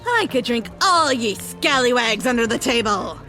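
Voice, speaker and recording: A woman's voice: on the high side, lively intonation, moderate pace, bright, forceful tone, faint music behind, poor audio. A woman playing a bold, cocky young pirate in an audio drama, taunting as she talks. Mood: cocky, challenging.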